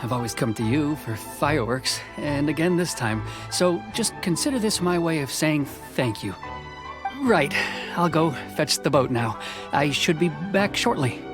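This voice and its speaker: A man's voice: a little high, animated, normal speed, lightly acted. An actor playing a nervous, cautious young man in a video game who hesitates and stammers politely. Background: game background music.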